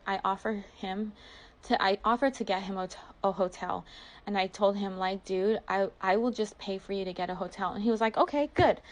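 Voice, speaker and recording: A woman telling a personal story in a casual, confessional way, colloquial and dramatic, acting out the dialogue as she goes. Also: mid-range voice.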